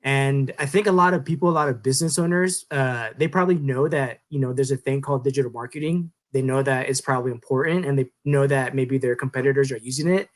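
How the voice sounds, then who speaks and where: A man in his thirties co-hosting a podcast, explaining things in a relaxed, rambling way with many fillers. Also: mid-range voice, quick pace, hardly any pauses. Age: 30s. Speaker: podcast co-host. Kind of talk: casual podcast chat.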